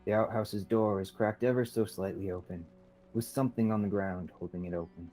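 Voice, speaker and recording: A man's voice: on the low side, steady tone, brisk, dark tone, soft background music, poor audio. A young man reading scripted narration for a radio theatre play. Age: young adult.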